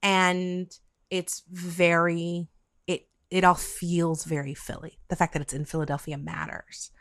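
Podcast interviewer: middle-aged woman, slightly low voice, very dry sound, informal, thinks aloud with repeated words. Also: flat delivery, fairly bright tone, dry recording. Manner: halting, rambling, repeats words while thinking. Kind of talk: casual conversational interview.

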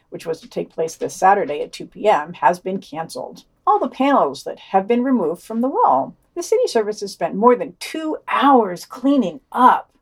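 Dry-witted woman podcast co-host. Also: mid-range voice. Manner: wry, dry asides. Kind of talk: reading and commenting on news.

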